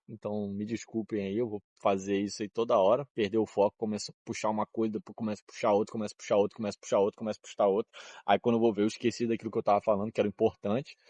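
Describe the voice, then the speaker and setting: A man's voice: fairly low pitch, darkish tone, no echo. A young man from Brazil in his twenties, vlogging outdoors in a rambling, slangy, laid-back way, often drifting off topic and laughing. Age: 20s. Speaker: travel vlogger. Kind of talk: casual rambling vlog. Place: outdoors in cold wind.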